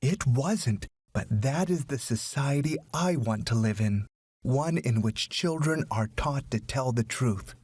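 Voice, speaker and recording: A man voicing a proud, dignified widowed foster mother in a storybook, speaking in an earnest, measured, emphatic way. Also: mid-range voice, expressive, a little mellow, husky, no echo. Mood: proud, impassioned. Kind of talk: audiobook story character.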